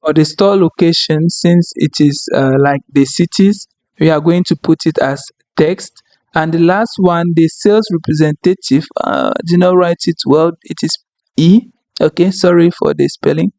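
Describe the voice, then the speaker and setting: A man whose first language is French, walking viewers through a software tutorial and checking in often with "okay?" and "right?". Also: a little high, normal speed, dry recording. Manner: explanatory, frequent "okay?" and "right?" check-ins. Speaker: tutorial instructor. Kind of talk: screen-recorded software tutorial.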